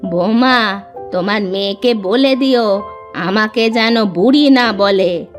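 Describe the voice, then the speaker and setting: An elderly village grandmother from a cartoon tale, acted by a woman. She is fond but easily miffed and scolds gently. She speaks slowly over faint music. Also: mid-range voice, natural voice. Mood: mock scolding. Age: older. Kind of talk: cartoon story acting.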